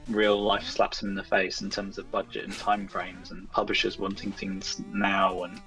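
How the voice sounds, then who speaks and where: A man with a fairly low voice sits a little away from the mic with music playing behind him. He chats casually as a guest developer, hesitant and self-effacing, letting his sentences wander. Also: completely flat delivery, normal speed, recorded from a distance, low-quality recording. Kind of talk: casual interview chat.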